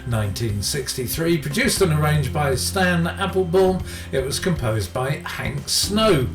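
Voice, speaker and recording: A man hosts a soul radio show in a fairly high male voice. His delivery is informal and a little hesitant, with careful attention to record details. Music plays behind him in a roomy sound. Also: animated, measured pace. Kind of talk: casual music radio presenting with record facts.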